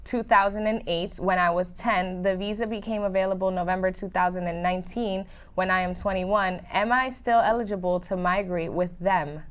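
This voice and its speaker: Female co-host, steady reader of viewer questions. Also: on the low side, moderate pace, hardly any pauses. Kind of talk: reading viewer questions aloud.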